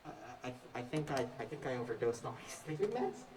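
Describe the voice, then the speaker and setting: A man's voice: mid-range voice, very animated, brisk, natural voice. A young man acting an anxious, awkward, hesitant student who stammers, in a stage play. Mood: nervous, rambling. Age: young adult. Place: indoor stage, recorded from afar. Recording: distant, echoey stage recording.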